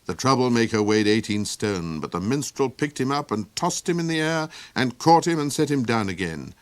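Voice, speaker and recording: A man narrates a fantasy tale in an expressive, theatrical manner. His voice sits at an ordinary middle pitch. The sound is dry and close. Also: moderate pace, no echo. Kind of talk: audiobook storytelling.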